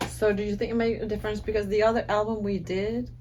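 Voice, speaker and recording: A woman's voice: mid-range voice, level tone, fast talker, darkish tone, slight background noise. A woman, a singer-songwriter, talking thoughtfully about her album, with restarts as she looks for words. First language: Danish. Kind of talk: casual conversation. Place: recording studio.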